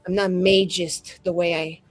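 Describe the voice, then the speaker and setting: A woman's voice: on the low side, level tone, measured pace, voice pushed down. Theatrical, flippant scheming sorceress, played by a woman. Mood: quoting, mock sincere. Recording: music behind the voice.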